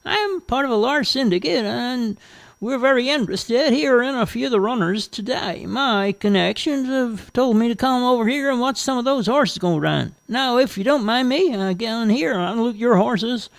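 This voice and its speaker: Man as a high, pushy woman faking an accent. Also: lively intonation, normal speed, vocal fry, no room sound, full character voice. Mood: breezy, pushy. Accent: put-on thick foreign accent. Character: brash woman faking a wealthy overseas horse owner.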